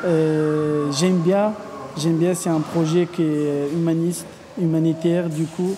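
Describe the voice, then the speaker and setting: A woman's voice: sits low, fairly even intonation, slow pace, noisy background. A woman in her thirties from Afghanistan, a coordination agent speaking sincerely and hesitantly in a testimonial interview. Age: 30s. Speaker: coordination agent, team leader.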